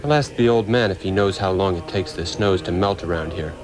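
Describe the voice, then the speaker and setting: An actor playing a cynical, impatient former surgeon in a 1960s radio drama, blunt and theatrical. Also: male voice, sits low, fairly quick, natural voice. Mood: scornful, skeptical. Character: cynical, brash male ex-surgeon, skeptical, impatient. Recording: old radio drama, music behind.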